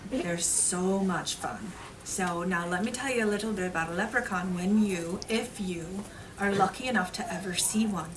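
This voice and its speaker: A woman's voice: medium pitch, moderate pace. A woman telling stories to young children at an elementary school, explaining in simple, repeated phrases with dramatic emphasis. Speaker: elementary school read-aloud storyteller. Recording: distant mic, noisy room.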